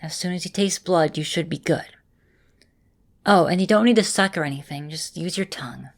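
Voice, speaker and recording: Casting: actress as affectionate female vampire mentor for ASMR roleplay, lowish voice, gentle and reassuring with a teasing edge. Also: moderate pace. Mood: flustered, teasing. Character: affectionate centuries-old female vampire mistress, encouraging mentor.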